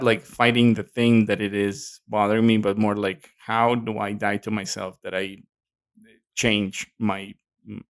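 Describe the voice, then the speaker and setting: A man's voice: on the low side, fairly bright tone, dry recording, clean studio sound. A man with a Mexican accent co-hosting a podcast, talking casually with many fillers and hesitations. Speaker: podcast co-host. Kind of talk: casual chat.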